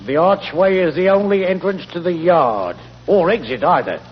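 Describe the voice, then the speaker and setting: An actor playing a brisk, sceptical London policeman in a 1940s radio drama, blunt and full of questions. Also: high-pitched, expressive, a little hiss or hum. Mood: probing. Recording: muffled, echoing 1940s radio recording.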